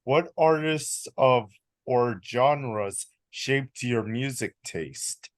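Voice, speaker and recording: Young male interviewer, slow and hesitant, dry close recording. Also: mid-range voice, no echo. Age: young adult. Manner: hesitant, many ums. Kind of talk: reading numbered interview questions.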